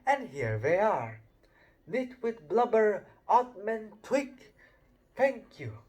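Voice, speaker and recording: A man's voice: high voice, sing-song, slow pace, a little mellow, voice pushed up, exaggerated voice. A man voicing a kindly, eccentric old headmaster who speaks in a grand, whimsical way. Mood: welcoming.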